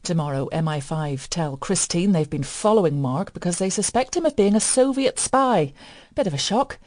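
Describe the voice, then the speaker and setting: A woman's voice: lowish voice, animated, moderate pace, a little mellow, dry recording, clean studio sound. A woman reading a scripted radio trailer as continuity announcer.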